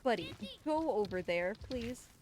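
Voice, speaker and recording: Higher-voiced woman streaming over noisy game sound. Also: expressive, unhurried, a little mellow. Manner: talks to the game creature in playful, exasperated scolding. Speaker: speedrunner streaming live for a charity marathon. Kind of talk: casual live gameplay commentary. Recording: clear background game noise and ambience.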